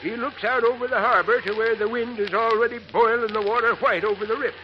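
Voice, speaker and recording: High-pitched old New boatman. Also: steady tone, fast talker. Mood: rambling, grave. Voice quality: folksy dialect. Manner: rambling, repeats himself. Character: worried, long-winded old male boatman, stubbornly unhurried. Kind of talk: 1940s radio acting. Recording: noisy, narrow-band old radio recording.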